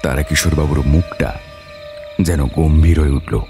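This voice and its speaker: A man's voice: extremely low pitch, steady tone, moderate pace, over music. A man reading a scripted story as its narrator, with dramatic delivery.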